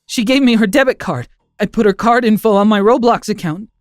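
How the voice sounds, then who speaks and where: A man's voice: fairly quick, no room sound, studio quality, soft background music, lightly acted. A man voicing a tearful teenage boy pleading for forgiveness. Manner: pleading, tearful, high-strung.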